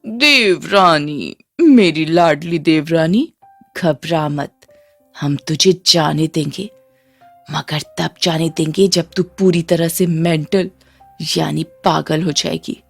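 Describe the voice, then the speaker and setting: Lady doing a nasty sister-in-law, sneery, taunting, story villain w soft music. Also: deep voice, takes it slowly, vocal fry, no room sound, heavily acted. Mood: mocking spite. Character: spiteful, mocking elder sister-in-law villain.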